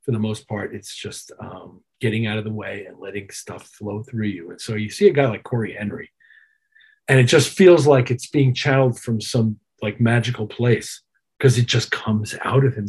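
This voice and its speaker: A man's voice: medium pitch, moderate pace, darkish tone, breathy edge. A man speaking as a musician guest in an interview, reflective and conversational, repeating phrases for emphasis. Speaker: interview guest, keyboard player.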